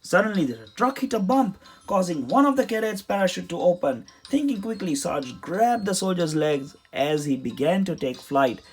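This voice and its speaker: Animated man reading a children's storybook. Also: high-pitched, moderate pace, few pauses, breathy edge. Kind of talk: read-aloud storybook narration. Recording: music behind the voice.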